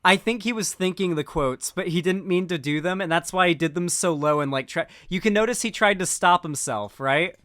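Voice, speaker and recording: Stoned guy rambling through political commentary, smoothish voice kinda high. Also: brisk, few pauses, fairly bright tone, dry recording, clean studio sound. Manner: rambling, full of fillers and restarts.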